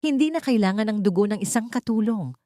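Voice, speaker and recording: A haughty Filipino rich wife, acted by a woman narrator in an audiobook drama. She is imperious, scornful and quick to defend herself. The recording is dry and close. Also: mid-range voice, animated, mellow, no room sound, voice pushed up, natural voice. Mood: scornful anger. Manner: indignant, imperious.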